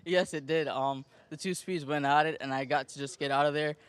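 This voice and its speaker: A jockey with a Jamaican accent speaks softly in a post-race interview, relaxed and playful. His voice sits a touch higher than most men's. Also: brisk, clean tone. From Jamaica and Florida. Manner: relaxed, joking, soft-spoken.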